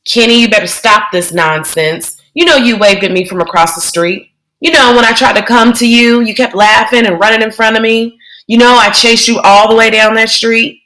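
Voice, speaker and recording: A bossy, whiny little girl who keeps threatening to tell on her brother, as a woman reader plays her. She speaks well above the reader's own pitch and gets louder as she pushes. The voice comes from a storybook reading. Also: moderate pace, poor audio. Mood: upset.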